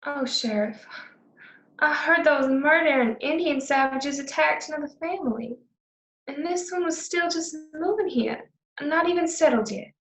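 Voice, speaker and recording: An actress as a prim, gossipy frontier lady in a radio drama. Her voice is high and fussy, ringing in an echoey room. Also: normal speed, a bit bright, a little hiss or hum. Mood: alarmed, scornful. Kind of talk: online radio play acting.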